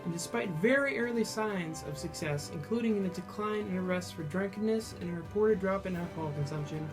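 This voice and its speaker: A man's voice: high voice, a little fast, over music. A man formally reading a scripted news report as a correspondent.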